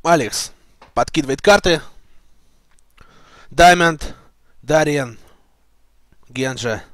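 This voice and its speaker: A man giving esports play-by-play commentary, brisk and listing picks rapidly. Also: on the high side, fairly bright tone, no echo, studio quality.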